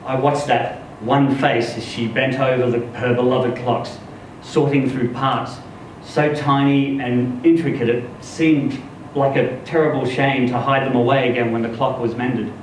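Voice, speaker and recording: A man giving an expressive live reading of a first-person literary story, acting out the dialogue as he goes. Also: medium pitch, a little slow, hardly any pauses. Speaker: story reader. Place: live reading event in an echoey room. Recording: echoey room, distant mic, noisy.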